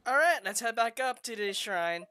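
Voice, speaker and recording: Bright-voiced casual game streamer. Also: medium pitch, animated, normal speed, clear, resonant tone. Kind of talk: casual gameplay commentary.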